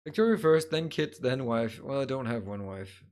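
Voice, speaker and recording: A man's voice: medium pitch, expressive, moderate pace, darkish tone. Chatty man thinking aloud while gaming. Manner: thinking aloud while building, casual and chuckling. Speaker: streamer. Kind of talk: livestream gameplay tutorial, chatting with viewers.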